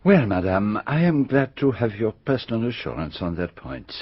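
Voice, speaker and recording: An actor with a French-speaking Belgian accent playing a courteous, formal detective who probes deliberately in a radio mystery. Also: male voice, on the low side, big pitch swings, slightly rough, no room sound. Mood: polite, wry. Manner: formal, deliberate, politely insistent. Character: courteous, probing middle-aged Belgian detective. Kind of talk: radio mystery drama acting. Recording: dull, muffled radio drama recording.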